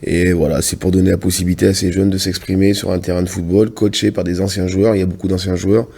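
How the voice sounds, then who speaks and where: Brief: French-raised man, low-pitched, halting and earnest studio guest. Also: flat delivery, creaky, warm tone, husky. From France. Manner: earnest, halting, restarts often. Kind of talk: casual TV interview answers. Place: TV studio set.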